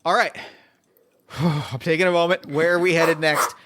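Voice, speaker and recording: A man running a solo tabletop game as game master, excitable and quick to laugh. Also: on the high side, no room sound, clean studio sound. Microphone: remote call mic.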